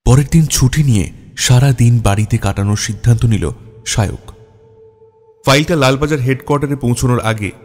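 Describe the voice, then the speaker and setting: A man narrating a scripted detective story in a measured way, with dramatic pauses. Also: mid-range voice, darkish tone. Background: background music.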